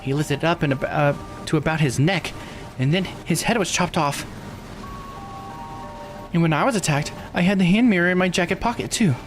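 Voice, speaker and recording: A man voicing an excitable, earnest young character from a mystery visual novel in an exclamatory way. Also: a little high, normal speed, pauses often, voice pushed up, close to an everyday voice. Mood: excited realization. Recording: game music behind voice.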